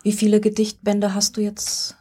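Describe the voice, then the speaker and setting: Husky-voiced woman interviewer. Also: medium pitch, completely flat delivery, moderate pace, warm tone. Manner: attentive, frequent short backchannels.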